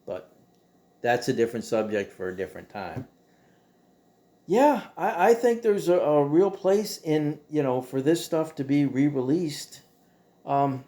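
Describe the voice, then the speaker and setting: Mellow-voiced man talking casually. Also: on the high side. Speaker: host of a show about old radio. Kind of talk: casual opinionated monologue.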